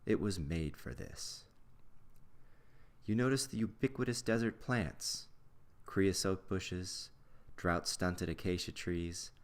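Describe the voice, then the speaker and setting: A man narrating a scripted guided visualization in a measured, instructional way with deliberate pauses. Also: deep voice.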